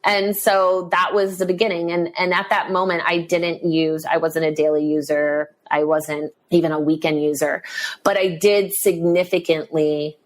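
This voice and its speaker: A woman's voice: fairly low pitch, level tone, normal speed. A woman guest in a podcast interview, telling her life story candidly in a conversational way, with many fillers and restarts. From New York.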